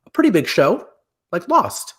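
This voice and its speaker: A man in his forties hosting a casual panel discussion over a video call, talking in a halting, meandering way and often correcting himself. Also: high voice, wildly swooping pitch, fairly bright tone. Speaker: host. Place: small room at home, video call.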